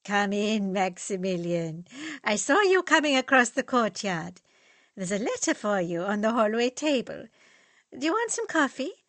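A woman's voice: mid-range voice, big pitch swings, moderate pace, a bit bright, dry recording. A woman voicing a doting, fussing older German housekeeper. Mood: warm fussing.

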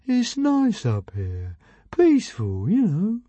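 A man voicing a sad, weary male dragon stuck in a cage, talking slowly and gloomily. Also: sits high, huge pitch swings, warm tone, dry recording, pitched above the performer's own voice, full character voice. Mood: glum. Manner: slow, gloomy, resigned.

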